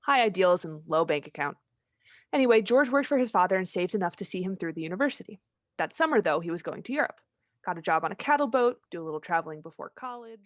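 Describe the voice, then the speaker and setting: Radio play: woman as authoritative head angel, businesslike storyteller, rapid delivery, dry. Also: lowish voice, animated, dry recording, close to an everyday voice. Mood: storytelling. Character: brisk, authoritative senior angel giving orders. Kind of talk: old-time radio play acting, storytelling.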